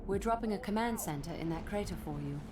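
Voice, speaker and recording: A woman voices a composed female ship intelligence in a sci-fi war game. She speaks at a middling pitch, efficient and crisp as she reports. Very loud music runs behind her. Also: lively intonation, quick pace, close to an everyday voice.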